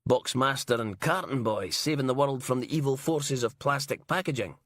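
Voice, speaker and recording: A man's voice: medium pitch, moderate pace, a bit bright, pitched above the performer's own voice, lightly acted. A man reading an audiobook and voicing a crude, sarcastic female police inspector. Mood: mocking.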